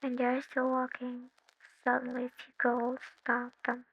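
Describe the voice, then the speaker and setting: A woman whose first language is not English, slowly reading a fan-fiction story aloud with occasional slips, on a slightly dull recording. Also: on the high side, completely flat delivery, breathy edge. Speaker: narrator.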